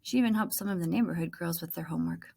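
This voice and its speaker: A woman's voice: on the low side, very fast, vocal fry, dark tone, husky. A woman teacher reading a children's book aloud as first-person narration, expressively stressing key words.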